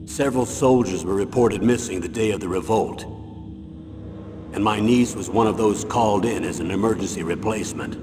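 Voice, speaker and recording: A man voicing an older retired army colonel in a video game, an earnest, guarded commander with a measured, formal military delivery. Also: lowish voice, background noise, close to an everyday voice. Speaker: mission commander briefing an operative. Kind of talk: video game voice acting, dramatic briefing. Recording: long echoing reverb, loud music behind.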